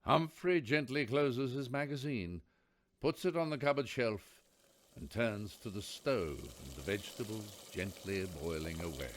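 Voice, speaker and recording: A man's voice: lowish voice, animated, unhurried, a little hiss or hum. A man playing the narrator of a radio play, telling the story in scripted, descriptive prose. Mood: measured storytelling.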